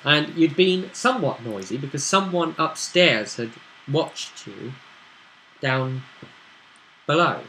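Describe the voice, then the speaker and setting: A man's voice: on the high side, animated, slow pace, pauses often, pushed and bright, a little hiss or hum, poor audio. A man hosting a casual recap of a tabletop roleplaying session, telling it in a conversational storytelling way. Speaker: host and game master recapping a tabletop session.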